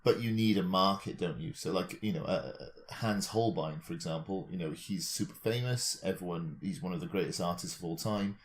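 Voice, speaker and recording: Deep-voiced man reasoning aloud in conversation. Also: fairly quick, hardly any pauses, slight background noise. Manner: discursive, reasoning aloud with examples. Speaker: podcast co-host. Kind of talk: casual speculative discussion.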